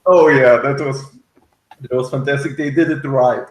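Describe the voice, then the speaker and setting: A man's voice: medium pitch, steady tone, moderate pace, roomy sound. A man in a casual review discussion, speaking hesitantly and often searching for his words. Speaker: guest reviewer on a fan show.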